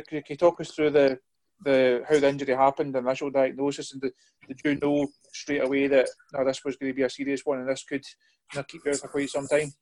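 A male interviewer asks long questions in a level, flat tone. He halts and restarts as he lays them out. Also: medium pitch.

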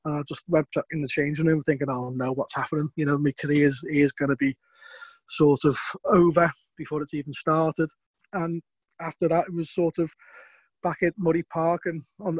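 A middle-aged Scouse man talks through old stories in a loose, rambling way, stumbling over repeated words. His voice sits a touch high for a man, and the recording is close and dry with no room sound. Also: little pitch movement, brisk, darkish tone, breathy edge, no room sound, poor audio. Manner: rambling, repeats words, chuckles between points. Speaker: former footballer. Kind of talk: interview storytelling.

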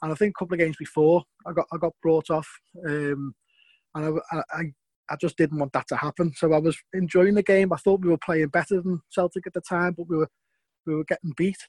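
A man's voice: on the high side, steady tone, a little fast, a little mellow, no room sound. A middle-aged former footballer with a Scouse accent, recounting his career in a rambling way, often repeating words. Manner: rambling, repeats words, chuckles between points. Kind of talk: interview storytelling.

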